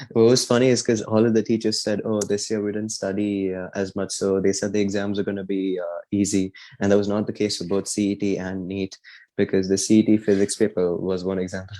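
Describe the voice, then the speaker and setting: A man's voice: deep voice, mellow. A young man from India, a podcast guest chatting casually with many fillers and restarts. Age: young adult. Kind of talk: casual chat.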